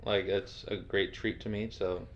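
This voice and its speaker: Man hosting an interview, lowish voice, rambling chatty delivery, dull lo-fi sound. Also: little pitch movement, unhurried, a bit bright. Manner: rambling, lots of fillers, chatty. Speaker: podcast host, interviewer. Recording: dull lo-fi audio.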